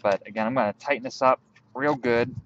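A young man outdoors in a field, calmly walking through a hands-on tutorial step by step while he works with his hands. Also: mid-range voice, brisk, muffled recording, a little hiss or hum. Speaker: bushcraft instructor.

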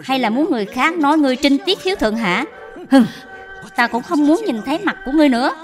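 A woman's voice: sits high, animated, fast talker, soft background music. A woman voicing a bitter old mother-in-law in a cartoon dub, harsh and suspicious. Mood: furious scolding.

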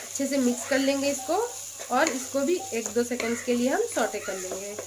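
High-voiced woman explaining a home cooking recipe. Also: animated, moderate pace, noisy background. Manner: chatty, patient, explains each step. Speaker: cooking channel host. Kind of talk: step-by-step cooking instructions. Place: home kitchen by a gas stove.

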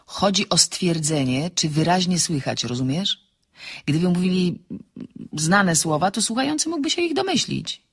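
A woman playing an inquisitive, insistent amateur sleuth in an audio drama, slightly husky, questioning and explaining briskly. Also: fairly low pitch, expressive.

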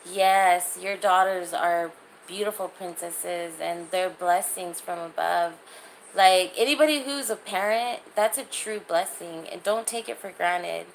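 Slow-talking, gravelly woman chatting on livestream. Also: on the low side, slight background noise, rough recording. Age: 30s. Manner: chatty, informal. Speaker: livestream host. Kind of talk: casual livestream chat, reading and answering comments. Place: small indoor room. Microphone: phone at arm's length.

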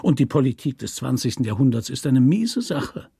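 A man's voice: medium pitch, big pitch swings, moderate pace, creaky, dark tone, husky, no room sound, clean studio sound, heavily acted. A man voicing a cynical politician, a state governor, condescendingly courteous and emphatic in an audiobook story.

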